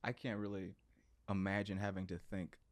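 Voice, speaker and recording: A man's voice: deep voice, flat delivery, normal speed, no room sound. Male podcast host, mid-range voice, dry studio tone; loose and jokey. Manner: restarts, jokey, asks follow-up questions. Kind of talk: casual podcast interview.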